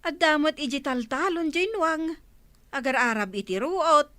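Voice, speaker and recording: A woman's voice: high voice, animated, measured pace, fairly bright tone. An actress playing a chatty, nagging village woman in an Ilocano comedy radio drama, with an Ilocano accent. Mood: chatty, curious.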